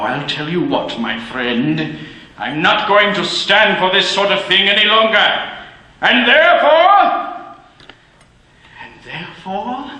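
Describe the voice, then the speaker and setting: An actor playing a reformed old miser, giddy and generous, laughing and exclaiming in a radio play. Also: male voice, extremely high pitch, bright, forceful tone, heavily acted. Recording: very echoey room.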